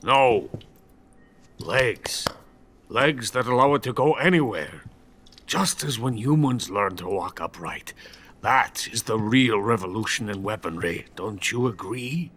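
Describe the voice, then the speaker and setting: An actor playing a bitter, boastful older Soviet weapons scientist who is drunk and rambles theatrically in a video game. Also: male voice, on the low side, animated, a little slow, fairly bright tone, a little hiss or hum. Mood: grandiose enthusiasm. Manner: grandiose, self-pitying, theatrical rambling. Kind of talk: dramatic video game voice acting.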